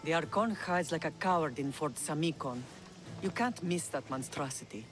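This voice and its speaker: An actress playing the fierce, vengeful mother of the hero in a game cutscene, who argues forcefully for bloodshed. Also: low voice, expressive, moderate pace, music in the background. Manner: forceful, scheming.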